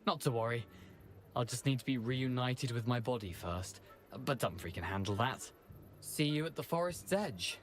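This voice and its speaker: An actor playing a headless schoolboy ghost in a video game, British, chatty and theatrical, with rueful, self-deprecating wit. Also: male voice, medium pitch, normal speed, soft background music, lightly acted. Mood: breezy, wry. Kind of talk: video game character acting.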